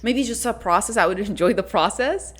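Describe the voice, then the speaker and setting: A woman in her late twenties co-hosting a podcast, relaxed and reflective, saying "like" often. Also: mid-range voice, a little fast, slightly rough. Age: late 20s. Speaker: podcast co-host. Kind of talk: casual chat.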